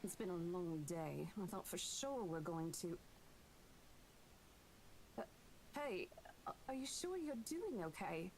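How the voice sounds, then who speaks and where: A friendly young woman speaks with caring concern and lively rises and falls, as a streamer voices her. Her tone is easygoing and kind. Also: fairly low pitch, slow pace, pauses often, a little hiss or hum, low-quality recording.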